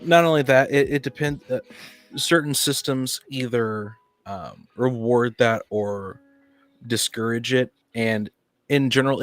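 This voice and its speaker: A man gives his take in a casual podcast conversation, halting and repeating words as he goes. His pitch is average for a man, with faint music playing behind him. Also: no room sound. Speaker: podcast panelist, tabletop player. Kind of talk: casual discussion of tabletop gaming.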